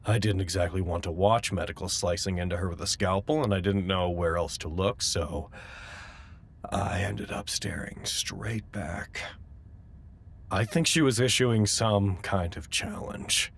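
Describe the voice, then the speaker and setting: Low-voiced man, a self-important documentarian. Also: a little slow. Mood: uneasy, reflective. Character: fussy, self-important male documentarian keeping an audio log. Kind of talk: first-person audio drama log narration.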